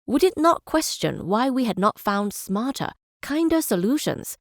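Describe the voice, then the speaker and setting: An actress playing a measured, idealistic chancellor and scholar, speaking formally and deliberately in scripted game speeches. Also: female voice, on the high side, sing-song, no echo, studio quality. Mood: grave, questioning.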